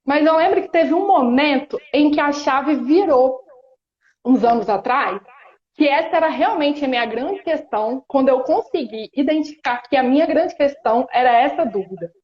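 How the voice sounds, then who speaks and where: Brazilian woman, thirties, high voice, earnest and reflective, roomy dull live-stream sound. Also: animated. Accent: Brazilian Portuguese, Espírito Santo. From Espírito Santo, Brazil. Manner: earnest, reflective, many pauses and restarts. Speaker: guest. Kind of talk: casual chat on a live stream. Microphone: phone or laptop on a video call.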